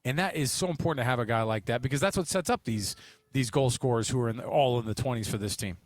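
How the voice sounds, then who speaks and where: A man hosts sports talk at a quick clip, with a mid-range voice. He rambles casually and leans on his points with emphasis. The recording is dry and close. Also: medium pitch, no echo. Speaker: sports talk show host.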